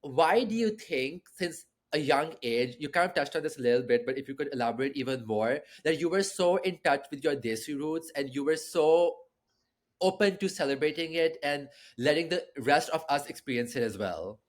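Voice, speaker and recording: A man's voice: on the high side, expressive, normal speed. Chatty young male podcast host. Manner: friendly, chatty, many likes. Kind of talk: casual podcast chat.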